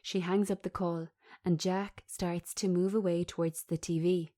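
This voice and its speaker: A woman reading a script aloud as narrator, giving the stage directions in a measured, descriptive manner. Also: on the low side, little pitch movement, warm tone.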